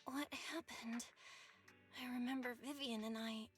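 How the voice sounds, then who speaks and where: A woman's voice: a little high, fairly even intonation, measured pace, soft background music, natural voice. A woman voicing an earnest, caring young heroine in an action game, emotive and a little hesitant. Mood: dazed, confused. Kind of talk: dramatic game voice acting.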